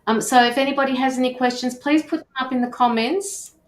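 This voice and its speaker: A woman's voice: sits high, steady tone, fairly quick. A woman casually hosting a live online interview in an echoey room. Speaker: host.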